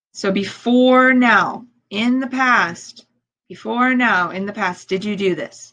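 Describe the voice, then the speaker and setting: A woman teacher and native English speaker with a medium-pitched voice and a very slow pace. She explains things in simple steps for language learners, deliberately repeating key phrases and asking questions. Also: bright, forceful tone. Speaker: ESL teacher.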